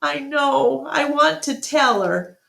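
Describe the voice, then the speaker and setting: A woman's voice: high-pitched, expressive, slow, creaky, fairly bright tone, pitched above the performer's own voice, full character voice. A woman voicing an earnest, emotional male silverback gorilla in a storybook read-aloud. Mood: tender. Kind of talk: first-person storybook character. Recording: echoey room.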